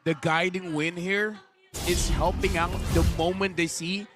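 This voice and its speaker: A man's voice: high voice, fairly even intonation, measured pace, over music. Chatty Malaysian male esports analyst. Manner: chatty, uses slang like legit and dude. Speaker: esports color commentator.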